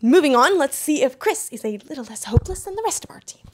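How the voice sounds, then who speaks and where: A young woman hosting a light scripted promotional interview, prompting her colleagues in an animated way. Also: high voice, fast talker. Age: young adult. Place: rooms of a house and a barn. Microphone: boom mic held near.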